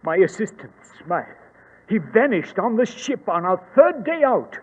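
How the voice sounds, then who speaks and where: An actor playing a grave, obstinate British archaeologist with a husky voice and formal, dramatic delivery, as in 1940s radio. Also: male voice, high voice, sing-song, measured pace, long reverb tail, exaggerated voice. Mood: grave, shaken. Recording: dull old radio recording.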